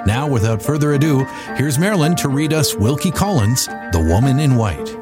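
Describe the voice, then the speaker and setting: A man's voice: medium pitch, expressive, normal speed. A man reading a scripted promotional introduction as a podcast announcer. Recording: loud music under the voice. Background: music.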